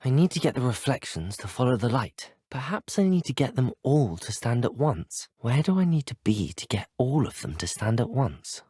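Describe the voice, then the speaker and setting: Husky, polite young student wizard. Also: male voice, medium pitch, sing-song, moderate pace, hardly any pauses, mellow, dry recording, clean studio sound. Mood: curious, puzzled. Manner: courteous, well-spoken, formal phrasing. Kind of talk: acted video game dialogue clips.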